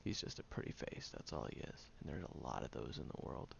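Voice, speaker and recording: Man acting as a very gravelly, brash, caring young guy. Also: animated, exaggerated voice. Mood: earnest, reassuring. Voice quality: gravelly, low. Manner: blunt, grumbling, sighing, halting delivery. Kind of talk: audio roleplay acting, one-sided dialogue addressed to the listener. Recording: dull home recording with faint noise.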